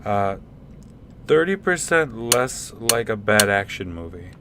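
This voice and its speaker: A man plays a proud but bumbling scientist in a sci-fi radio comedy. The voice is deep and male, halting and stammering, over faint rumbling noise. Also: big pitch swings. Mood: fussy, uneasy. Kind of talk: comedic radio theatre acting.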